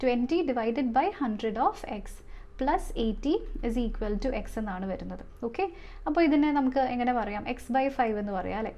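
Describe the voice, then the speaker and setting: A woman's voice: on the high side, dark tone. A woman with a Malayali accent teaching step by step as an exam coach, often asking rhetorical questions and slipping into English terms. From Kerala, India. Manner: step-by-step, frequent rhetorical questions and check-in tags. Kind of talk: explanatory lesson, working through maths problems.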